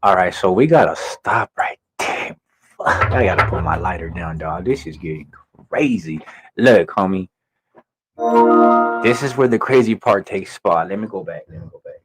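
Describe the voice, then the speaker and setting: Low-pitched man talking slangy street commentary. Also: expressive, moderate pace, loud music behind the voice, low-quality recording. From Inland Empire, California. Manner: slangy, emphatic street talk, swearing. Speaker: reaction channel host. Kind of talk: casual reaction commentary.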